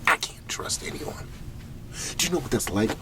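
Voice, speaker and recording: A man playing a weary, desperate cleanup agent in an ASMR roleplay, pleading in halting, breathy whispers and murmurs. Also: natural voice. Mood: anxious, pleading.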